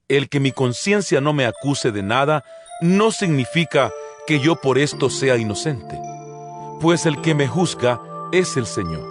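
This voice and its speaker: An actor playing the earnest, exhorting apostle Paul in a dramatized Bible reading, solemn and measured, with rhetorical delivery. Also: male voice, mid-range voice. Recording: music bed behind the voice.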